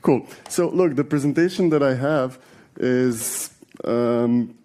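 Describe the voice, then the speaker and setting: A man's voice: mid-range voice, slow pace, warm tone. A man in his forties from Serbia, giving a conversational conference talk with many fillers and restarts. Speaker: conference speaker. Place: large conference hall.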